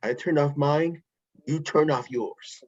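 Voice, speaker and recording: A woman with a low, husky voice reading lines in a table read, lively and emphatic, her pitch moving a lot. The sound is dry and lo-fi. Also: takes it slowly, dry recording. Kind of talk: amateur online script reading.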